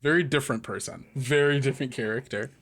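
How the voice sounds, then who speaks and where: A man running a tabletop roleplaying game as game master, improvising narration in a halting, conversational way. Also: medium pitch, a bit bright.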